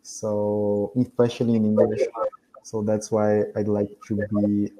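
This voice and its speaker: A man's voice: on the low side, completely flat delivery, unhurried, dark tone, poor audio. Hesitant young man, native Portuguese speaker. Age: young adult. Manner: hesitant, self-correcting, laughs often. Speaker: English student. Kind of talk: casual conversation practice. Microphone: video call.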